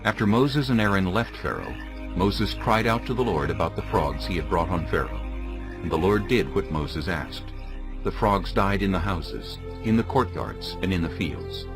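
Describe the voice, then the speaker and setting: A man narrating dramatized scripture as a solemn, measured storyteller. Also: low voice, expressive, music in the background.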